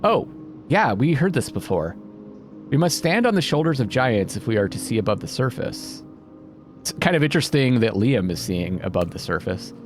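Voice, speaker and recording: A man narrates his playthrough casually, musing aloud and reading out on-screen text. His mid-range voice moves around a lot in pitch, with game music clearly playing behind him. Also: sing-song, moderate pace. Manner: thinks out loud, muses. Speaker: video game player and host. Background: atmospheric game music.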